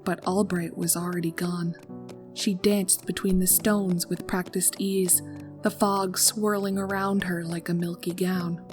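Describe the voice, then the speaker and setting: A woman reading a horror story aloud in a calm, steady narrating voice. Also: lowish voice, a little slow, soft background music.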